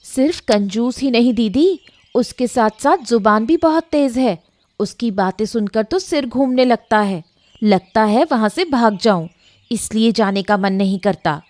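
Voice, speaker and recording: A woman's voice: fairly high pitch, animated, unhurried, darkish tone, no room sound. A woman playing a disenchanted married woman in an acted story, plaintive and gossipy as she confides in a neighbour. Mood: disenchanted, complaining. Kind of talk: acted Hindi audio story dialogue.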